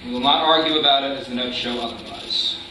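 A man's voice: mid-range voice, steady tone, fast, bright, forceful tone. A young man playing a stern, accusatory war-crimes interrogator in a staged reading. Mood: probing. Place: meeting room, staged reading at a table. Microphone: far camera microphone. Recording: very echoey room, far microphone, hiss.

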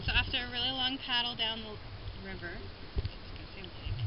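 A woman's voice: on the high side, moderate pace, highs cut off. Cheerful woman vlogging from a kayak. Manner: cheerful, laughs often. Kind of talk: casual outdoor vlog. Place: outdoors on a river in a kayak. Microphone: action camera held close or mounted on the kayak. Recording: outdoor camera audio with loud water and wind noise.

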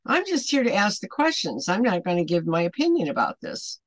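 A woman in her seventies teaching a Bible devotional to camera at home, earnest and deliberate, putting emphatic questions to the listener. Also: mid-range voice, very animated, dry recording. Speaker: online Bible study host. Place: small home room, indoors.

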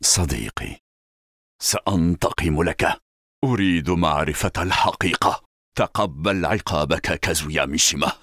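An actor playing a vengeful, honorable desert warrior in a fighting game, with solemn, intense, formal delivery. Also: male voice, rumbling low, a bit bright, no room sound, clean studio sound, heavily acted. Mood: solemn, resolute. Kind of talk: video game battle lines and fight efforts.

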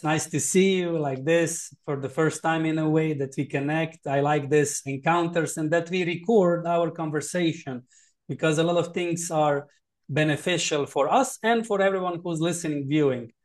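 Man, 30s, podcast host, higher voice on a close mic. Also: darkish tone. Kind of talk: podcast interview. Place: bright home studio.

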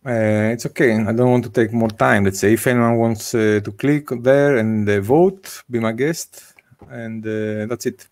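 Man, 30s, video-call meetup organizer; slow, halting, gravelly delivery. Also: fairly low pitch, steady tone, darkish tone. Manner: hesitant, many filler uhs. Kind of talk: casual video call discussion. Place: home room with bookshelves. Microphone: desk microphone on video call.

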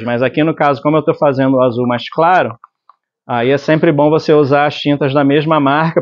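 A man in his forties from Brazil teaching screen printing in his workshop. He explains step by step while mixing inks and keeps checking in with the viewer. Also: fairly high pitch. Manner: explanatory, tag questions like "tá?". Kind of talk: hands-on tutorial. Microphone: lapel mic. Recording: slightly dull lo-fi sound.

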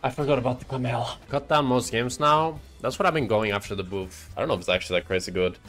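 A young man from Norway, a native Norwegian speaker, joking through live gameplay commentary and chat banter, swearing and shouting at big moments in the game. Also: medium pitch, fast, soft background music. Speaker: streamer.

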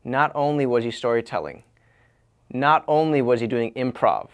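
A man's voice: medium pitch, animated, moderate pace. A man in his thirties judging a children's talent show in a small studio, upbeat and encouraging. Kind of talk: casual commentary. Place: small studio with decorated wall.

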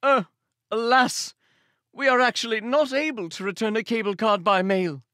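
A man playing a pushy, pleading call-centre agent as a mock-Shakespearean dramatic reading, theatrical and drawn-out. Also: high-pitched, fairly even intonation, bright, forceful tone, dry recording, studio quality. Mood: wounded, coaxing. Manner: melodramatic, halting, drawn-out delivery.

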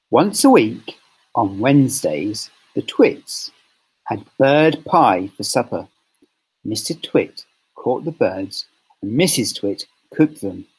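Male storybook reader, expressive and deliberate, animated pitch, slightly raspy. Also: rough recording. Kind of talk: children's story reading.

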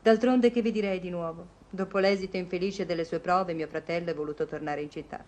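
An actress playing a poised, independent young lady in a vintage Italian radio comedy, polite, brisk and articulate. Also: fairly low pitch, steady tone, darkish tone, close to an everyday voice. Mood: polite, composed.

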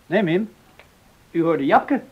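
An actor playing a proud, hot-tempered young farmer's son in a radio drama, given to defiance and protest. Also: male voice, a little high, lively intonation, slow, husky, lo-fi sound, heavily acted. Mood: troubled. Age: young adult.

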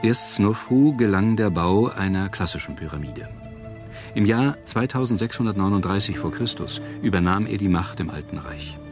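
A man reads scripted documentary narration in a measured, solemn storytelling manner. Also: fairly low pitch, animated, music in the background.

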